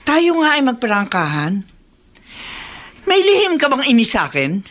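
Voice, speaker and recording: A woman's voice: a little high, wildly swooping pitch, unhurried, fairly bright tone, full character voice. A woman playing a domineering, fault-finding elderly mother-in-law in a confrontational radio drama style. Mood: stern, defensive. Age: older. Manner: confrontational, interrogating. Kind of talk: Tagalog radio drama acting.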